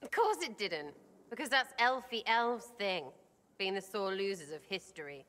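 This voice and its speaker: A woman's voice: medium pitch, expressive, moderate pace, sharp tone, long reverb tail. A woman playing a brash, irreverent young elf rebel in a video game, with an English accent and blunt, slangy, cheeky delivery. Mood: mocking. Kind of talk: video game cutscene acting.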